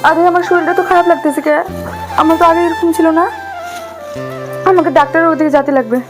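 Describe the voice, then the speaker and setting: A woman's voice: very high voice, fairly even intonation, fast. A woman playing an affectionate young big sister in love in a short film drama, with music over her lines. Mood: worried. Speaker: actress in amateur short film. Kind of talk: acted romantic drama dialogue.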